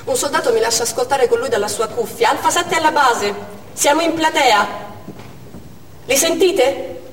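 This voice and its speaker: An actress playing an anguished, urgent woman doctor in a dramatic stage monologue, speaking intensely and deliberately in short phrases. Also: high-pitched, lightly acted. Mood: tense. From Armenia (the character). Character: Armenian woman doctor recounting a theatre siege, anguished, urgent. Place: indoor theatre stage. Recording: echoey theatre hall, distant mic, faint noise.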